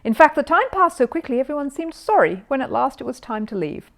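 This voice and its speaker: Animated woman reading a children's story. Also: sits high, a little fast, gravelly. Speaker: storybook narrator. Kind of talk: expressive scripted children's story narration.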